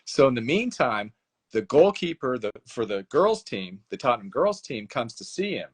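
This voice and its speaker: Male author guest, mid-pitched, lively storyteller who slips into quick voiced dialogue. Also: breathy edge. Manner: animated storyteller, acts out dialogue with laughs. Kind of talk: casual interview chat.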